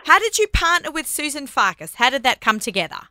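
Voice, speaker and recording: A woman hosting an interview, upbeat and chatty, quick to praise her guests. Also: high-pitched, big pitch swings, bright, forceful tone, no room sound, studio quality. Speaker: radio show host. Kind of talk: interview on air.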